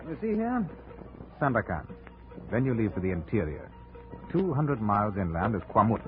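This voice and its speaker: Fussy, chatty British colonial official. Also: male voice, fairly low pitch, sing-song, normal speed, over music. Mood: fussy warning. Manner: fussy, rambling, hesitant, wistful chuckles. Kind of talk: old-time radio drama acting. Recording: muffled vintage radio recording.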